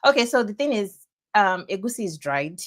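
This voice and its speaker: A woman's voice: mid-range voice, big pitch swings, normal speed. A young woman with a Nigerian accent, a student guest on a podcast, speaking candidly with emphatic repeats. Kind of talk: casual podcast interview.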